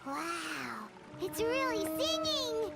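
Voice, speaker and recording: Cutesy childlike fairy sidekick, piping high, drawn-out excitable exclamations, cartoonish game style. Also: female voice, vocal fry, full character voice. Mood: delighted. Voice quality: squeaky, childlike. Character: Paimon, tiny chirpy childlike floating fairy companion, female. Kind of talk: cartoonish video game voice acting. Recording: game audio under loud music.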